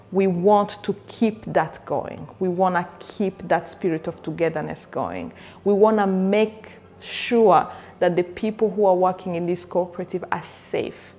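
A woman's voice: lowish voice, darkish tone. A middle-aged woman from Rwanda speaking earnestly to camera in an interview, repeating phrases for emphasis. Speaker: coffee company co-founder. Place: indoor coffee shop.

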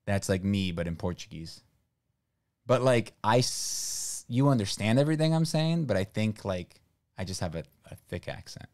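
A man with a very deep, low voice talks in a loose, rambling way, full of fillers, as he chats on a home livestream. He is in his thirties, from Brazil, and speaks close to a studio mic in a small room. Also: normal speed, no room sound. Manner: relaxed, rambling, filler-heavy talk. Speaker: stream host. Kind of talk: casual chat on a livestream. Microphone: studio mic on boom arm, close.

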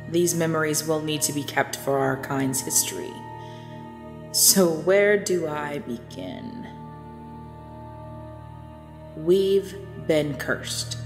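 A woman playing a sorrowful, self-sacrificing young woman in an audio drama, with solemn, formal, old-fashioned diction. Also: deep voice. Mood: mournful. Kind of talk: ASMR audio drama acting. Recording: long reverb under new-age music.

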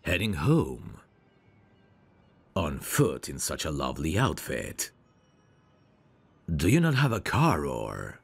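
A man playing a protective, self-assured, flirtatious mafia heir in an ASMR roleplay, commanding and smooth-talking. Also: a bass voice, wildly swooping pitch, slow pace, long pauses, no room sound, faint music behind, full character voice. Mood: tender, flirtatious.

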